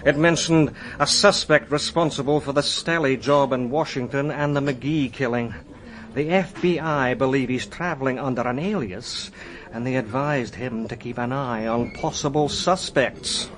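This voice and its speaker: A dry, knowing surgeon, played by a man in a radio drama. His voice sits at a middle pitch, and he gives advice in a measured, confiding way. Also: a bit bright, slight background noise, natural voice.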